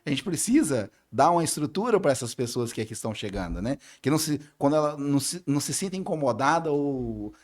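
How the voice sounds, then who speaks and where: A man's voice: fairly high pitch, animated, fast, hardly any pauses, darkish tone. A Brazilian man answering questions in a conversational way, as a political candidate being interviewed.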